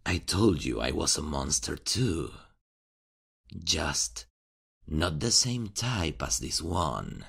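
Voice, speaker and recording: A man voicing a calm, mocking vigilante killer who speaks slowly and with quiet menace in an ASMR horror roleplay. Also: rumbling low, creaky, slightly rough. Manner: slow, teasing, quietly menacing.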